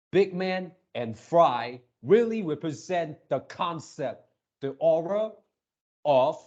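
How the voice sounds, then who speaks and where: A man hosting a casual fan reaction video, gushing excitably in halting, drawn-out phrases. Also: a little high.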